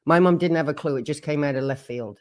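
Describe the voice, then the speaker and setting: A man's voice: on the high side, fast. A man in his sixties giving plain, frank personal testimony about his childhood. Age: 60s. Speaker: former member telling his story.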